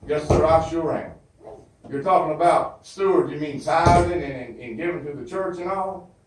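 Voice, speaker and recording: A man's voice: fairly high pitch, moderate pace, noisy background. A man with a Southern US accent performing a folksy comedy monologue as a flustered churchgoer on the phone, stammering and repeating himself. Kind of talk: comedy monologue, one side of a phone call. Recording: very echoey hall, distant microphone.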